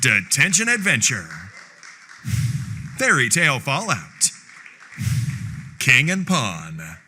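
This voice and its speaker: A man's voice: mid-range voice, wildly swooping pitch, takes it slowly, bright, forceful tone, slight background noise. A man announcing nominees, reading a scripted list of titles in a dramatic style. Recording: music and crowd behind.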